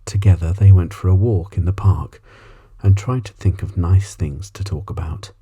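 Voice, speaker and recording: Very soft, deep, husky male bedtime reader. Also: normal speed. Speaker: audiobook reader. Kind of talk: ASMR bedtime story narration.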